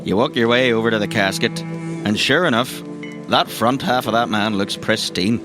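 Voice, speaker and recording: A man's voice: mid-range voice, normal speed. A man, narrating a roleplay story conversationally as game master. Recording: music behind the voice.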